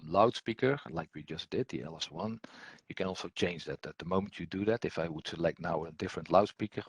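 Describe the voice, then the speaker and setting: Male software instructor, deep and husky, hesitant unscripted walkthrough delivery, dry recording. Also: no room sound. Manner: halting, hesitant delivery with repeated words. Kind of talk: unscripted screen-share software tutorial.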